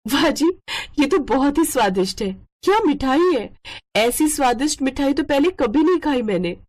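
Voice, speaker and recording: A woman voicing a delighted village woman who exclaims excitedly in an animated moral story. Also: sits high, normal speed, voice pushed up. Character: delighted, gushing young village woman tasting sweets.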